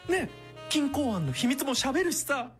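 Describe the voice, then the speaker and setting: A woman's voice: high-pitched, sing-song, moderate pace, faint music behind. An actress playing a sadistic, taunting female villain in a radio drama, with a sneering, theatrical delivery. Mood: coaxing. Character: sadistic, taunting female villain who calls herself boku.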